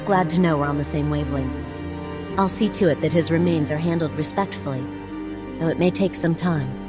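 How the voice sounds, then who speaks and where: A woman voicing a scholarly, wry explorer in a video game, speaking in a measured, articulate way. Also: sits low, lightly acted. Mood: dryly wry. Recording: game music underneath.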